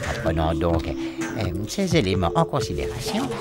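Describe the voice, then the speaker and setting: A man's voice: low-pitched, huge pitch swings, normal speed. A man voicing a stern, pompous and formal bank boss in a cartoon. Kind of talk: cartoon voice acting. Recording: music under the voice.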